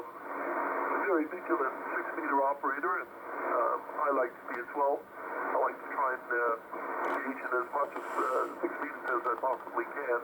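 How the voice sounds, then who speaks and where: A man chatting as an amateur radio operator over a radio link, politely and hesitantly, rambling along with pauses. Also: medium pitch. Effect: transmitted over amateur radio. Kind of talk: casual two-way ham radio chat. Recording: very noisy shortwave-style radio reception, loud static. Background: loud radio hiss and static.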